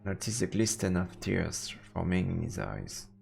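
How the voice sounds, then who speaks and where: A man's voice: low-pitched, sing-song, unhurried, vocal fry, a little mellow. A man narrating a visual novel aloud in a dramatic storytelling style as he streams. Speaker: streamer reading a game aloud. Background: faint music.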